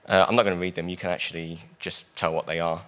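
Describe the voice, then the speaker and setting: A man with a loud voice giving a casual conference talk on stage, joking with the audience and speaking into a handheld mic. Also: low voice, flat delivery, quick pace.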